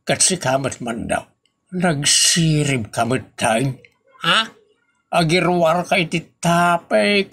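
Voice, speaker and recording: A man plays a rural Ilocano worker in a comedy audio drama. The voice is very creaky and full of exclamations. The character gossips and argues back with excuses, in an Ilocano accent. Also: a little high, fairly bright tone. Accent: Ilocano, northern Philippines. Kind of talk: comedy radio drama dialogue.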